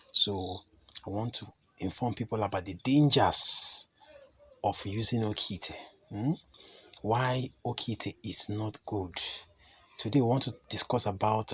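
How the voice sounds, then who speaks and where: A man, slightly hoarse from a cold, giving an earnest spiritual teaching as a chief priest. He often stops to ask the listener a rhetorical "hmm?". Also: lowish voice, pushed and bright. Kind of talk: talking-head teaching to camera.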